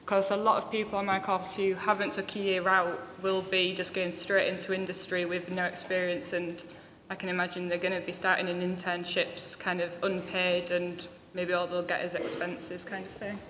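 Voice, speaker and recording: A woman's voice: on the low side, completely flat delivery, normal speed, fairly bright tone. A young woman student on a panel, talking casually and saying "kind of" a lot. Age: young adult. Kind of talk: live panel discussion. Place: conference room. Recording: echoey hall with faint noise.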